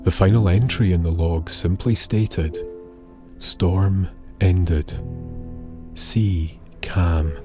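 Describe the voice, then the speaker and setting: A man narrating an eerie scripted tale in a solemn, measured, dramatic way with pauses. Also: deep voice, steady tone. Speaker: storyteller, narrator. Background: music.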